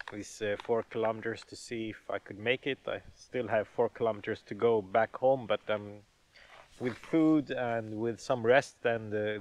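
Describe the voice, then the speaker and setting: A man in his thirties vlogging outdoors by a forest lake, talking into a camera held at arm's length, relaxed and hesitant with many fillers. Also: lowish voice, no echo. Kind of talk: casual outdoor vlog.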